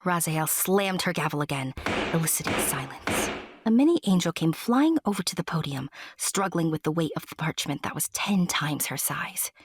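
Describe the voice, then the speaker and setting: A woman's voice: deep voice, expressive, moderate pace, a little hiss or hum. A woman narrating a scripted storybook tale with wry, playful asides to the listener.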